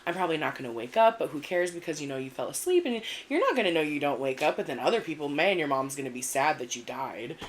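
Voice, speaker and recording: A woman's voice: fast, few pauses, a little hiss or hum. A woman co-hosting a casual podcast, telling stories with lots of expression. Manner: animated storyteller, very expressive pitch. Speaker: podcast co-host. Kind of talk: casual chat.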